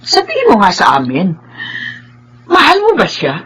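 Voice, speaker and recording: An actress in a Filipino radio drama playing a stern, pious older aunt who scolds emphatically but cares. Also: female voice, medium pitch, huge pitch swings, moderate pace, fairly bright tone, breathy edge, exaggerated voice. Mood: stern, scolding.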